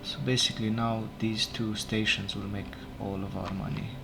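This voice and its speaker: A man whose first language is not English, casually commenting on his game as he plays, unhurried and thinking aloud, often correcting himself. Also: on the low side, level tone, gravelly. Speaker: let's play gamer. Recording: game music playing behind. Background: game music.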